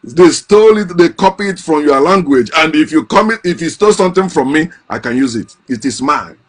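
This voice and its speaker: Impassioned man, fairly high voice. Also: lively intonation, brisk. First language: Igbo. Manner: impassioned, repeats and restarts phrases. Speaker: host. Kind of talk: casual monologue.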